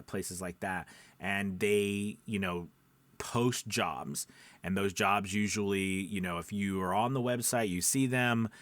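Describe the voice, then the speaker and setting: Low-voiced man coaching casually. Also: a bit bright, no echo. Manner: conversational, frequent check-in tags like right and okay. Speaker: voice-over coach and host. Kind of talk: casual instructional chat to camera.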